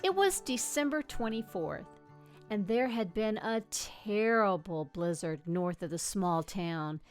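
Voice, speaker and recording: A woman reading a children's picture book aloud expressively, with gentle delivery and dramatic pauses. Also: medium pitch, animated, soft background music. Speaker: storybook reader.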